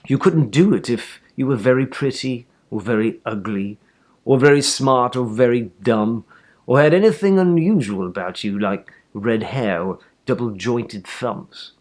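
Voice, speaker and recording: A man reading a children's story aloud with animated, theatrical emphasis. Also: medium pitch, a little slow. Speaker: storybook reader.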